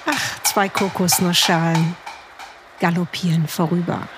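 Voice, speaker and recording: A woman hosting a podcast in a chatty, informal, gently teasing conversational style. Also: lowish voice, level tone, measured pace, background noise. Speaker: podcast host and author. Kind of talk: conversational interview with narrated passages.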